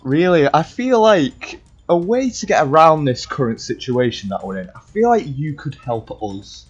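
A man's voice: fairly high pitch, very animated, moderate pace, husky. A young man improvising casually in character in a video game roleplay. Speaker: gaming roleplayer. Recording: dull lo-fi game mic, music behind.

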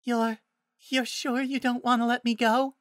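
A narrator voicing a timid, gentle, apologetic young female druid in a fantasy story, soft-spoken, nervous and hesitant. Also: mid-range voice, animated, normal speed, no room sound, studio quality, pitched above the performer's own voice, natural voice. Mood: nervous, scared. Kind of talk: audiobook-style fantasy story character.

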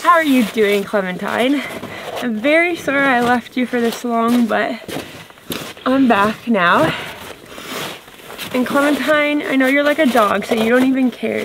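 A woman's voice: fairly high pitch, noisy background. A woman in her thirties with a Canadian accent, chatting casually and playfully as a vlogger. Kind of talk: casual chat to camera and to a tractor. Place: outdoors in snow, around a tractor and yard.

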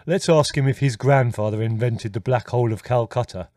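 A man's voice: medium pitch, brisk, darkish tone, dry recording, studio quality, close to an everyday voice. A man voicing a sardonic young brother who enjoys mischief. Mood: wry.

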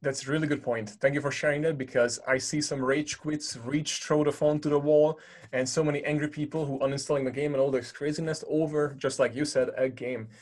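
A man's voice: a little high, fairly even intonation, a little fast, a little mellow. A man from Hungary with a Hungarian accent, hosting a casual podcast and thanking his guest often. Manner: friendly, effusive thanks, fillers. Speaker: podcast host.

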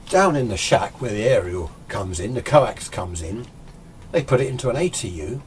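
An older British man explains things informally in a fairly low voice. He is conversational and emphatic, posing questions and answering them himself. He was recorded in a small workshop with noticeable background noise. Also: darkish tone. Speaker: amateur radio enthusiast presenting a tutorial. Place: small indoor radio workshop full of equipment. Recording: clear background room noise.

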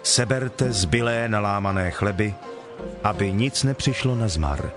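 Guy acting calm holy teacher in bible drama, kinda deep, solemn n measured, music behind. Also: lightly acted. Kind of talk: dramatized scripture reading.

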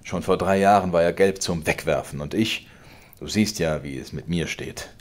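A man reading a story voices a domineering old father who rants loudly, mocking and accusing his son. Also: low-pitched, normal speed, lightly acted. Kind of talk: audiobook character voice.

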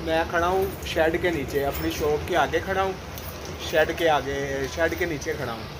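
A man in his thirties with a Pakistani Urdu accent, vlogging into a phone at arm's length under a shop shed in the rain, chatting casually and filling pauses with "to". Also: a little high. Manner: chatty, keeps saying "to" between sentences. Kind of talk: casual vlog to camera. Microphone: phone at arm's length, selfie. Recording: loud street and rain noise.

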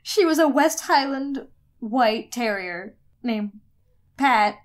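A woman's voice: fairly high pitch, animated, slow pace. A woman co-hosting a podcast in casual chat, swearing, mocking herself and often breaking into laughter. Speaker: podcast co-host.

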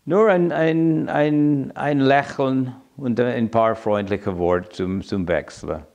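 Interviewee: American man in his seventies, native English speaker, slow and halting, mid-pitched voice, reflective. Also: vocal fry, a little mellow, muffled recording. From United States. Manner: halting, searching for words in a second language. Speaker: interviewee telling his migration story. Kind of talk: reflective personal account to camera. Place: quiet room indoors.

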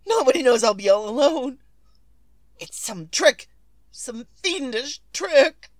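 A woman's voice: sits high, sing-song, slow pace, no echo, clean studio sound, exaggerated voice. A woman playing a nervous, demanding invalid wife in a melodramatic 1940s radio suspense style. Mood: distraught, panicked.

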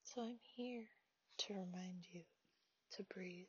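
A woman leading a guided meditation, speaking softly in a half-whisper, slow and soothing, on a dull, dry, lo-fi recording. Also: fairly low pitch, slightly rough, no echo.

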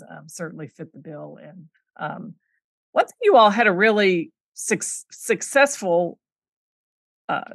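A woman's voice: fairly low pitch, a bit bright, dry recording. A woman hosting a podcast, asking long, encouraging questions. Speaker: podcast host. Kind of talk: interview questions.

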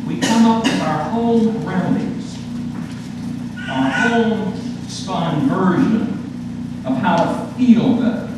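A man's voice: sits high, takes it slowly, pauses often. An older man preaching a sermon at a pulpit, telling folksy stories and acting out their characters. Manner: storytelling, voices the characters in his anecdotes. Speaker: guest pastor. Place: church sanctuary, at a pulpit. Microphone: far camera at back of the church. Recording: echoey church from far off, hiss.

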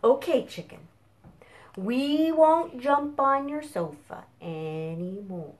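Silly, eager storybook cows , performed by a woman, who love fun. Their phrases stretch out slowly into singsong and swoop up and down. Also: a little high, expressive, darkish tone. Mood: sheepish. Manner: drawn-out singsong cheers. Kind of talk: animated children's storybook reading.

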